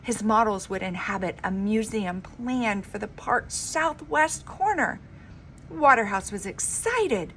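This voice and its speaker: A woman reading a children's storybook aloud like a teacher, with an expressive, emphatic delivery. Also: medium pitch, slow pace. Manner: dramatic, emphatic delivery with informal asides. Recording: clearly noisy homemade recording.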